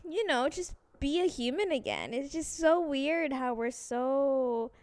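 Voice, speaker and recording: A woman's voice: sits high, big pitch swings, measured pace, darkish tone. A woman in her twenties co-hosting a casual chat podcast, laid-back and rambling with many fillers. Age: 20s. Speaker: podcast co-host.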